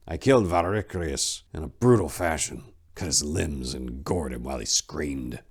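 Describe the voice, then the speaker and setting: Man as a grim low-voiced warrior monk. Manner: grim, terse. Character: weary, hard-bitten male vampire-hunting warrior monk, defiant.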